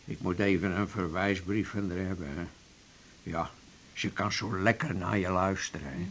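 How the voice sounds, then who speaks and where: A man's voice: deep voice, steady tone, moderate pace, a bit bright, a little hiss or hum. An actor playing a chatty, wry male patient with complaints in a radio drama. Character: chatty, ailing male patient in a waiting room.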